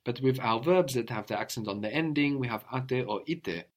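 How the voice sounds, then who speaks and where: A man's voice: medium pitch, moderate pace. A man teaching a one-on-one language lesson, patiently prompting his student and ending points with a questioning "no?".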